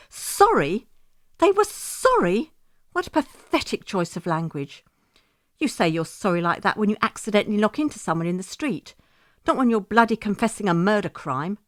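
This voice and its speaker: A woman's voice: mid-range voice, no room sound, clean studio sound. A woman playing a grieving, bitter widowed mother, telling her story in a first-person audio drama monologue. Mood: indignant.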